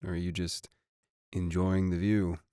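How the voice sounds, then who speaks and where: A man's voice: deep voice, level tone, a little slow, warm tone, clean tone, no echo, clean studio sound. A man playing a vain, playful idol singer in a scripted roleplay audio drama, teasing with casual swagger. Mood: flirty. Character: cocky, flirty male idol band singer, playful and vain. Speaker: voice actor.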